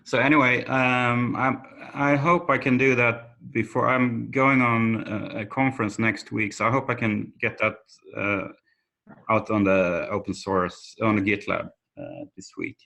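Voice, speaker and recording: Creaky-voiced man chairing a work call. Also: mid-range voice, measured pace, sharp tone. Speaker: meeting chair. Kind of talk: informal meeting talk. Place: remote conference call.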